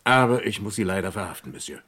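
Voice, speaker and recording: A man's voice: fairly low pitch, lively intonation, fast, pushed and bright, breathy edge, exaggerated voice. A man acting in a vintage German crime radio play as a polite but unyielding French police commissioner. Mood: courteously firm. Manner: courteous, firm.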